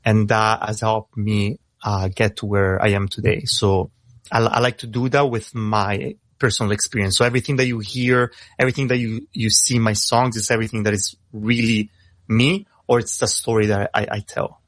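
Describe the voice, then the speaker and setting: A young man who grew up in Italy, with Italian as his first language, talking casually over a video call in a halting way with many fillers. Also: fairly low pitch, fairly even intonation, a bit bright, no echo. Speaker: rapper guest.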